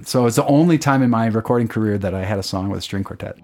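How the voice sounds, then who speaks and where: A man's voice: fairly low pitch, rapid-fire, over loud music. A man, a songwriter guest, talking casually in an interview and explaining at length with lots of fillers. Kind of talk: casual radio interview chat.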